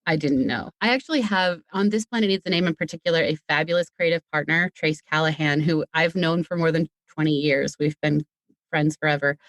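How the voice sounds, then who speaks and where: A podcast guest, a writer and director, telling stories in a chatty, animated way in a casual interview. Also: mid-range voice, a little fast, dry recording.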